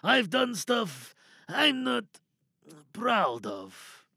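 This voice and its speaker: A man playing a cynical, wary captive scientist in an audio drama monologue, sardonic and probing, bargaining with quiet menace. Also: extremely high pitch, huge pitch swings, very slow, creaky, slightly rough, dry recording, clean studio sound, full character voice. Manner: sardonic, probing, rhetorical questions.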